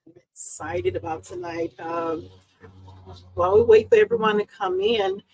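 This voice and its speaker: A woman hosting a live crafting stream from her home craft room, chatty and upbeat, slightly hoarse because she is losing her voice. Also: very high-pitched, wildly swooping pitch, moderate pace, darkish tone, poor audio. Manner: chatty and upbeat, greets viewers by name. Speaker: host and embroidery business owner. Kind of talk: casual live-stream chat. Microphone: live-stream setup.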